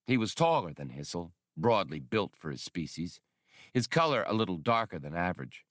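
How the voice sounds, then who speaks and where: Animated low-voiced male audiobook narrator. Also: normal speed, dry recording, clean studio sound. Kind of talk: dramatic scripted fiction narration.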